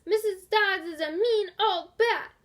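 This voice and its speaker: A woman voicing taunting little children in a storybook, with a loud chanting jeer. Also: very high voice, unhurried, pitched above the performer's own voice.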